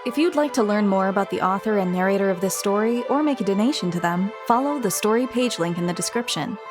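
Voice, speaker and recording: A woman delivers scripted podcast announcements in a presenter's role. Music plays clearly behind her voice. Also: mid-range voice, lively intonation, fast. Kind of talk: scripted narration.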